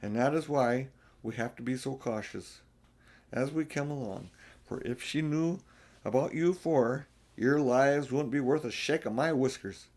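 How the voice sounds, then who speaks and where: A man's voice: mid-range voice, a little slow, a little mellow, close to an everyday voice. A man voicing an earnest, cautious male beaver in a storybook, explaining things in an emphatic, folksy way. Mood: grave, cautionary. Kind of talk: storybook character voice.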